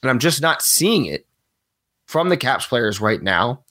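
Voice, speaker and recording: A man co-hosting a sports podcast while he has a cold, sounding a little raspy, talking in a rambling, opinionated way and laughing often. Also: medium pitch, big pitch swings, a little slow, fairly bright tone. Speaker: regular podcast co-host. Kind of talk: casual sports podcast chat.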